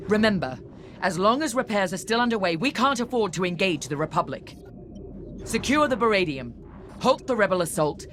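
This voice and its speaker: An actress playing a proud, ruthless woman starship captain who speaks in a clipped, formal, commanding way in a video game. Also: on the low side. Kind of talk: scripted video game cutscene acting.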